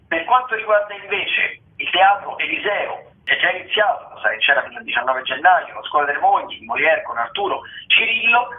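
A man with a Roman accent hosting a radio theatre show, running through upcoming plays in a chatty way with many hesitations and restarts. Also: on the high side. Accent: Roman Italian. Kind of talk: reading theatre listings on radio. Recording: phone-like roomy radio sound.

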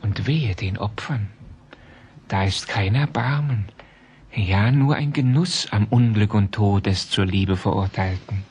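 An actor playing a proud, effusive provincial antiquarian in middle age, florid and courteous, in a German radio play. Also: male voice, on the low side, a little slow, darkish tone, a little hiss or hum, close to an everyday voice. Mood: dark, fascinated.

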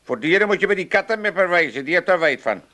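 Cast note: bickering old Amsterdam dad, high, bright, very lively in pitch, pub joker. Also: male voice, fast. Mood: grumbling. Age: older. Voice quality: loud, cackling, bickering. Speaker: old father. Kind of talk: radio play acting.

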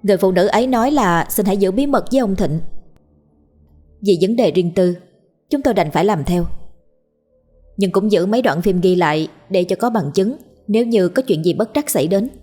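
A woman voicing a matter-of-fact female nurse who explains things in a measured way in a story. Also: mid-range voice, lively intonation, dark tone, soft background music, lightly acted. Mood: earnest, explaining.

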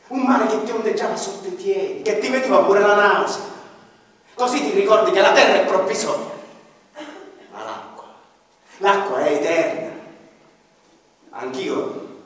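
An actor playing an ordinary man who mourns his lost seaside village, in a lyrical monologue that swings from loud to hushed. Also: high-pitched. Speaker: actor performing a radio-theatre monologue. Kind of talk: theatrical first-person monologue with violin accompaniment. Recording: echoey hall from far off, with violin.

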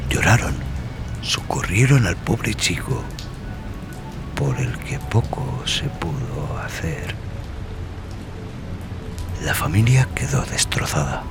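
A man narrates a horror story in a deep voice. He is grave and unhurried, his phrase endings often sinking into a breathy hush. Loud music and rain run behind him. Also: big pitch swings. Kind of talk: scripted horror narration in the first person.

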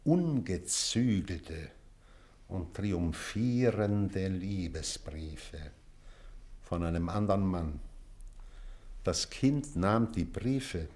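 Old male teacher, kinda deep, slow n thoughtful, wry and weary, drama piece. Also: lively intonation, a little mellow, full character voice. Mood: somber, confiding. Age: older. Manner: deliberate, pensive, measured delivery with weighty pauses. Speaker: actor. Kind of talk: German literary stage drama.

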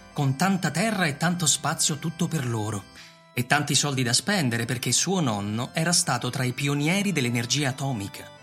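A man's voice: a little high, fairly bright tone. A man narrating a scripted radio drama as a storyteller. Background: music.

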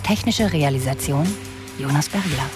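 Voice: medium pitch, normal speed, over music. An announcer reading scripted radio play credits, name by name.

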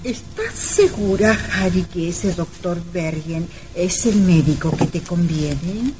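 An actress playing a solicitous, scheming elderly woman in an old radio drama, measured and coaxing. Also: lowish voice, very animated, background noise. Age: older character.

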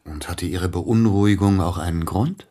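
A melancholy concert pianist in a radio play, brooding over a lost love. His voice is low and breathy, and he speaks slowly and haltingly when the thoughts weigh on him. The delivery is intimate and full of self-doubt. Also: dark tone. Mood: curious, doubting. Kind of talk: German radio drama acting, intimate dialogue.

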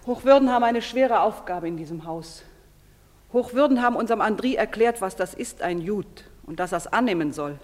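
A woman's voice: medium pitch, expressive, moderate pace, a little mellow, lightly acted. An actress playing a proud, betrayed wife and mother, stern and accusing in declamatory stage style. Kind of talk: theatrical stage-play acting. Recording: long reverberant hall sound.

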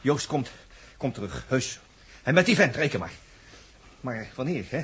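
A man's voice: mid-range voice, big pitch swings, moderate pace, a bit bright, breathy edge, exaggerated voice. An actor playing a bitter, fatalistic male crewman in a 1960s radio space drama, wry, tense and emphatic. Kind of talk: 1960s Dutch radio science-fiction acting. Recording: slightly noisy vintage 1961 radio recording.

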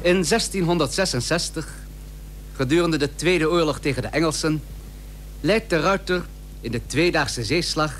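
A man's voice: fairly high pitch, fairly bright tone. A man narrating a historical radio drama in formal, scripted language. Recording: humming, noisy old radio recording.

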